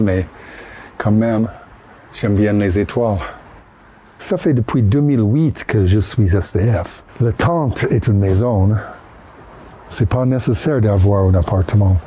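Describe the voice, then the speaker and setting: A man in his sixties from Utah, with an American accent, chatting casually and haltingly in French with English words slipped in, recorded outdoors under a bridge. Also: on the low side, warm tone, slight background noise. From Utah, United States. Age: 60s. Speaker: interviewee. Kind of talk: casual chat.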